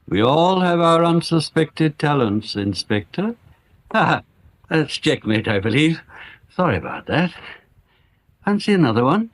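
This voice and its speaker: A man's voice: on the high side, big pitch swings. A man playing a bookish, genial English amateur chemist in a radio drama, musing aloud in a donnish way. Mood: genially smug. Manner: musing, donnish, unhurried asides.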